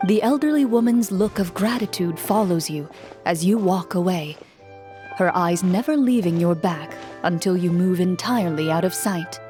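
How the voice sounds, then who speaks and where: A woman narrating a fantasy quest in the second person with measured storytelling. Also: fairly low pitch, dark tone. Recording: with music behind.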